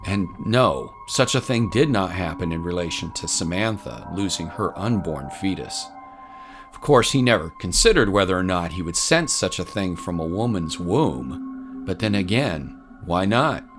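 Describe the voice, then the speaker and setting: A man narrates a story in a very low voice, with music playing prominently behind him. His delivery is scripted storytelling from start to finish. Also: lively intonation, moderate pace. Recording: voice over prominent music bed.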